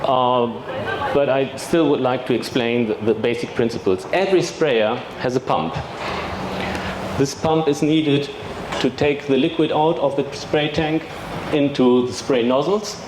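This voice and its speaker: A man with a German accent giving a technical presentation at a trade fair, measured, with fillers and throat clearing. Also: medium pitch. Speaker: industry expert presenter. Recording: echoey hall with background noise.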